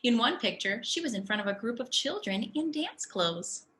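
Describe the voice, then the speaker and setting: A woman, a preschool teacher, reading a story aloud to young children with deliberate, expressive phrasing. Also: fairly high pitch, slight background noise.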